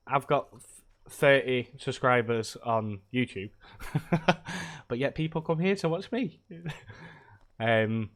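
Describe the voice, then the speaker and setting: Croaky man streaming a game. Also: mid-range voice. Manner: rambling, loose, many hesitations. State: croaky from a cold. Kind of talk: casual live-stream chat while gaming.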